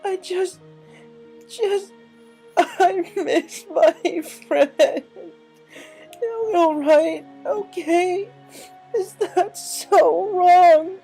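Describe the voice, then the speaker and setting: A brash young mare pegasus played by a woman reading a story, loyal and fiery. The voice is pushed up high, blunt and full of feeling. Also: vocal fry, dark tone, soft background music, heavily acted. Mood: sobbing, pleading. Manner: blunt, impassioned, halting when upset.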